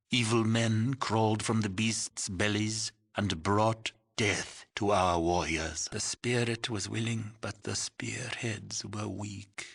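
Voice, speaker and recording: An aged village mystic for a video game. He speaks very slowly in a breathy, husky voice on the low side. His phrasing is solemn, ceremonious and full of cryptic poetry. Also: a bit bright, exaggerated voice. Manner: slow, ceremonious, poetic phrasing. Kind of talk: dramatic video game character acting.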